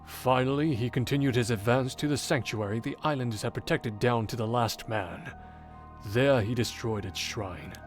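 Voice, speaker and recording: A man voicing a game character who recounts an old legend in a measured, formal, theatrical way. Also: medium pitch, lightly acted. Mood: grave, dramatic. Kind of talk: dramatic scripted visual novel storytelling. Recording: game audio with background music.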